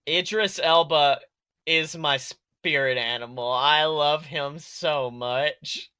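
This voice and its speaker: A man with a very bright, fairly high voice co-hosts a casual podcast chat. He is excitable and full of exclamations, and swears freely. The sound is close and dry. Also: takes it slowly, clean tone, no echo. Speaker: podcast co-host. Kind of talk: casual chat about an animated film.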